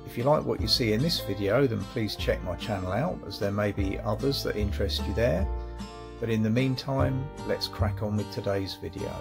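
A man's voice: lowish voice, normal speed, vocal fry, music in the background. An older man vlogging outdoors in a wood, chatting casually about his camping gear with plenty of ums and uhs. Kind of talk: casual walk-and-talk vlog. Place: outdoors in a woodland.

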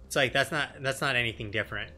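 A man's voice: mid-range voice, fast, bright, forceful tone, faint music behind. A man in his thirties giving casual, sweary live game commentary as a streamer in a room at home. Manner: sweary, colloquial. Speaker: streamer, let's player.

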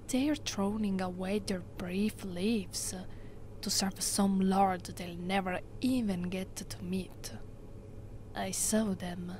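Slow, haughty Italian-accented vampire mistress, acted by a woman. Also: medium pitch, a little mellow, a little hiss or hum, natural voice. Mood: disdainful, calm. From Italy. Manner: imperious, slow and deliberate. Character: haughty, possessive immortal female vampire, mistress of a castle. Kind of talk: ASMR roleplay, soft and often whispered.

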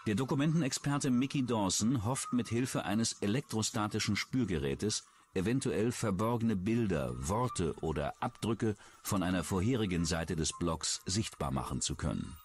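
A man's voice: lowish voice, lively intonation, moderate pace. A man reading scripted true-crime documentary narration.

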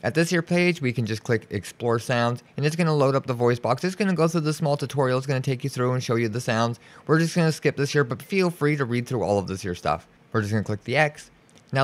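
A man giving an informal, reassuring step-by-step software tutorial as a voice-over. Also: mid-range voice. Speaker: tutorial host. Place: indoors, voice-over for a screen recording.

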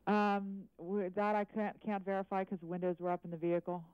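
Eyewitness phoning in to live news; smooth voice, hesitant answers, phone-band sound. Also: medium pitch, level tone, no echo. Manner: hesitant, halting, careful answers. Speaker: eyewitness caller. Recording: narrow, dry phone line.

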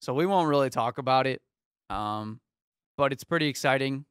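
A young man co-hosts a sports show from a small foam-paneled studio, talking into a close studio mic. His voice is smooth and resonant, and the sound is dry. He moves through scores in a steady, easygoing rundown. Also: mid-range voice, moderate pace, dry recording. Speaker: sports talk show co-host. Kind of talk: casual sports chat. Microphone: studio mic on arm, headphones.

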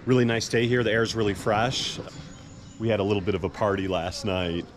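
A man's voice: lowish voice, level tone, a little fast. An American man in his thirties chatting casually as the host of an outdoor travel vlog. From United States. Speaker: vlog host, hiking guide. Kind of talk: casual morning vlog chat. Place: outdoors at a rural guesthouse. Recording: faint outdoor background noise.

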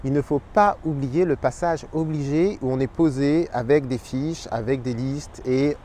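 A man in his thirties who hosts a language channel, explaining his tips to camera in an energetic, expressive way. He is outdoors by a park pond and wears a lapel mic. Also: mid-range voice, normal speed, slight background noise. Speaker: Spanish teacher and channel host.

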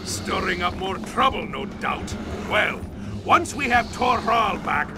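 An actor playing a hearty, blunt and mischievous burly dwarf warrior in a video game cutscene. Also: male voice, high-pitched, very animated, slow, noisy background, full character voice. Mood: scornful. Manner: hearty, rough-edged, jovial. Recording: loud music behind the voice. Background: loud game music.

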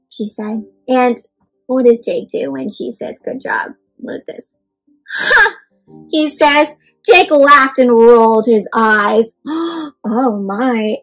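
A young woman reading a picture book aloud to children in an animated, interactive way, stopping often to ask her young listeners questions. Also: fairly high pitch, breathy edge, poor audio. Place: indoors in front of a leafy backdrop.

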